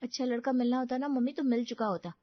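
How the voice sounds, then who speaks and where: A woman acts a cynical working daughter in her twenties for an audio drama. Her voice is high and she complains dramatically. The sound is dry and a little muffled on top. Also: steady tone, fast, dry recording, close to an everyday voice. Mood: exasperated. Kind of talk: Hindi audio drama acting.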